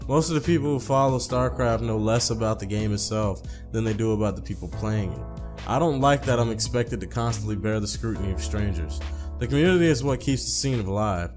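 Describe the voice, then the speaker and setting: Casual, slangy man over music. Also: mid-range voice. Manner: slangy, informal, repeats phrases when misreading. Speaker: gaming video host. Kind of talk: reading visual novel text aloud with casual commentary.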